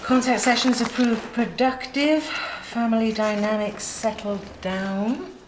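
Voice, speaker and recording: A woman in a British TV drama, brisk and thinking things through aloud. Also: on the high side, fairly even intonation, background noise, lightly acted.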